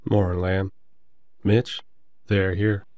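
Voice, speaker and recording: A man's voice: low-pitched, flat delivery, takes it slowly, a little mellow. A man playing a protective, reassuring werewolf in an audio drama, speaking fondly. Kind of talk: roleplay audio drama acting.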